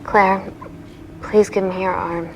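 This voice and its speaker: A woman's voice: medium pitch, level tone, slow pace, background noise, lightly acted. A woman acting a conflicted, principled female scientist in a naturalistic interactive film drama. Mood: grave, reluctant.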